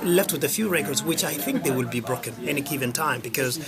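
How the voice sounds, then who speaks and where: A man's voice: medium pitch, animated, fast talker. A man in his late thirties, an athlete being interviewed, talking earnestly and rambling with many fillers. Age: 30s. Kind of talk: casual interview. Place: outdoor event before a race. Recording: loud crowd noise behind.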